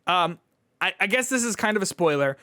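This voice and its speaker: A man's voice: high voice, expressive, a little fast, sharp tone, no echo, clean studio sound. A man co-hosting a podcast, chatting casually about video games in an informal, hedging way peppered with "like". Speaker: podcast co-host.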